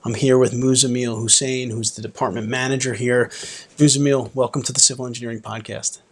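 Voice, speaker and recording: A man's voice: medium pitch, little pitch movement, brisk. A man hosting an engineering podcast, reading a polished, upbeat introduction and then interviewing a guest in an office. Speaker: podcast host.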